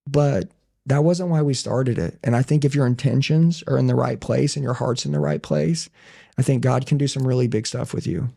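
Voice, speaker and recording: A man's voice: mid-range voice, steady tone, moderate pace, creaky, mellow. A man in conversation giving blunt, emphatic motivational talk in short declarative statements. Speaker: podcast guest and business owner.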